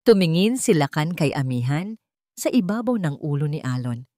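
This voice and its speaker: A woman reads a dramatic story in a fairly low voice that swings widely in pitch. She is an emotive, solemn storyteller, recorded dry and close. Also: a little mellow, no echo. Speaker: audio drama narrator. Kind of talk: dramatic scripted story narration.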